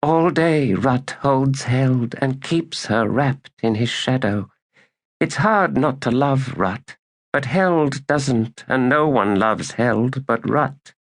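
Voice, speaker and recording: A man voicing a starving, solemn young girl poet in an audiobook, speaking in a slow, incantatory way. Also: medium pitch, lively intonation, voice pushed up. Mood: wistful. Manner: slow, incantatory, verse-like delivery.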